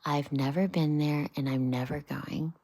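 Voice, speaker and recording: A woman's voice: low voice, fairly even intonation, a little slow, dark tone, no room sound. A woman voicing a chatty, worldly male water rat, cautious and dismissive, in a children's bedtime storybook reading. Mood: firm, dismissive.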